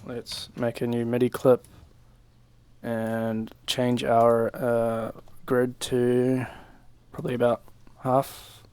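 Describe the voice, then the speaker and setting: A young man giving a casual, informal software tutorial, talking through each step in a laid-back, slangy way at a desk microphone. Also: mid-range voice, no echo. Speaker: music production tutorial presenter. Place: small home room, indoors. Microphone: desk microphone on a boom arm.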